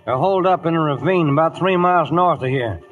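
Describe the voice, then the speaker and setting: An actor playing a laconic, rustic cavalry scout sergeant in a 1950s radio drama, speaking tersely. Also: male voice, on the high side, brisk, a bit bright, faint music behind, lightly acted. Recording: dull vintage radio recording.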